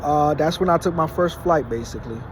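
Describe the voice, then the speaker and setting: A man's voice: fairly high pitch, brisk. A man in his late twenties, born in Haiti, talking casually to his phone in a vlog with many fillers and restarts. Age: late 20s. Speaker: vlogger. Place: parking lot at night, outdoors. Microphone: phone propped against a wall. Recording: noisy outdoor phone recording. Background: traffic and car noise.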